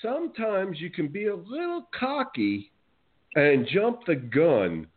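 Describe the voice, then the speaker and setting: A man's voice: high-pitched, takes it slowly, husky. A man from New Jersey with a New Jersey accent, hosting a wrestling podcast in a brash, outspoken way. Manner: brash, outspoken, animated storytelling. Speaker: podcast host. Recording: phone-like narrow audio.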